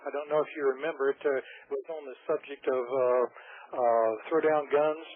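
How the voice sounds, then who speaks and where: Halting male caller on phone line. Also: mid-range voice, steady tone. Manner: halting, many fillers. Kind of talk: phone-in call. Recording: tinny phone line over radio with faint hiss.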